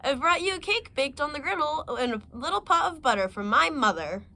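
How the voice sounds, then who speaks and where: An innocent little girl from a storybook chatters away in a lifted, eager voice, as a woman voices her. She sounds trusting and wide-eyed, stretching her words out slowly. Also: high-pitched, expressive, voice pushed up, full character voice. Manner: bright, naive and eager.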